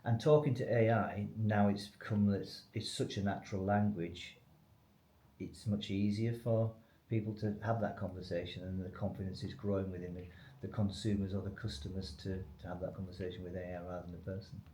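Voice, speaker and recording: Low, mellow-voiced man in echoey room. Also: steady tone, a little fast. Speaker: company expert being interviewed. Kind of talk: conversational business explanation. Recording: echoey room, faint background noise.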